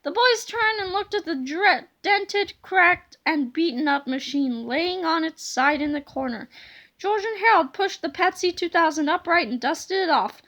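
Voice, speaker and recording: A woman narrating a children's book aloud in an expressive, dramatic way. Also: very high voice, a little slow.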